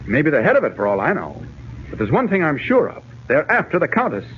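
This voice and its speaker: An actor playing an earnest, insistent reporter investigating a mystery, urgent and persuasive, in a 1940s radio serial. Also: male voice, on the high side, wildly swooping pitch, brisk. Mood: urgent, deducing. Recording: scratchy, narrow-band 1940s radio recording.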